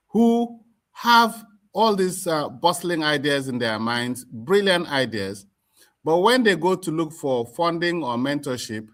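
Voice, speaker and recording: A middle-aged Nigerian man with a Nigerian accent, speaking as an interview guest in a deliberate, emphatic way, repeating words as he forms his points. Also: medium pitch, fairly bright tone. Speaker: community association chairman, interview guest. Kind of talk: explanatory interview talk.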